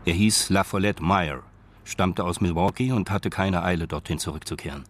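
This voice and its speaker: A man narrating a crime radio drama in a sober, detached, deadpan and measured manner. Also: deep voice, slight background noise, lightly acted. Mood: matter-of-fact.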